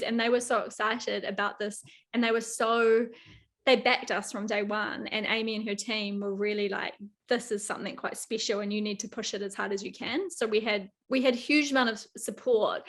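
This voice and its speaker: A woman producer from New Zealand speaks earnestly and enthusiastically on a panel. Her voice sits a little high, and she laughs quickly between thoughts. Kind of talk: panel talk.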